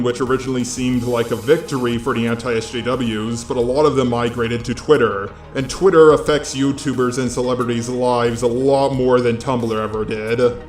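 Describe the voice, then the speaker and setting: A synthetic robot male voice reading a scripted video essay narration in a flat, mechanical text-to-speech delivery. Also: medium pitch, moderate pace, reverberant, faint music behind.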